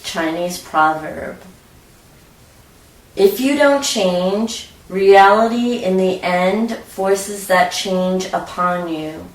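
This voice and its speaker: A woman narrating a scripted guided meditation in a measured, deliberate way. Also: medium pitch. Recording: roomy echo, distant from mic.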